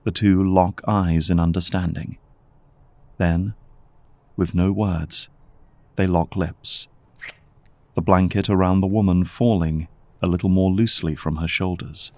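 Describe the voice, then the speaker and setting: A man's voice: low voice, steady tone, a little slow, pauses often, a little mellow, no echo. A man reading scripted, dramatic narration as the narrator of an audio drama. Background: background music.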